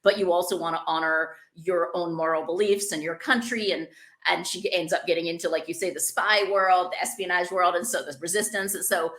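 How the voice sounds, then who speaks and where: A woman's voice: on the low side, fairly even intonation, brisk, fairly bright tone. A woman hosting a casual book interview podcast, speaking with effusive enthusiasm. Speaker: podcast host interviewing an author.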